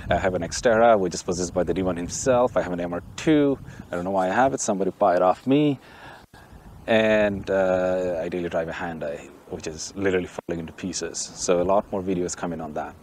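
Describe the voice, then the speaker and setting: A man's voice: low-pitched, a little fast, a little mellow. A man from Bangladesh hosting a casual, joking car review vlog outdoors, with wind around him. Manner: chatty, joking, opinionated. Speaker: car channel host. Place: outdoors by a vehicle, windy. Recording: faint wind and outdoor noise. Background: wind and outdoor noise.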